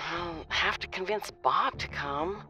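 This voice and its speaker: A woman's voice: fairly low pitch, slow, bright, forceful tone, faint music behind. An actress playing a weary, worried middle-aged mother of a missing grown son, hesitant and sighing in an audio drama. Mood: hesitant, doubtful. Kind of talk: audio drama phone conversation.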